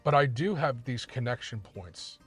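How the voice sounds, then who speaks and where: A man hosts from the driver's seat, telling family stories in a loose, easygoing way full of fillers. His pitch sits in a middle range. Faint music runs under the talk. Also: moderate pace. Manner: relaxed storytelling with fillers. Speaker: rideshare driver and podcast host. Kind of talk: casual conversation. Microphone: car interior mic.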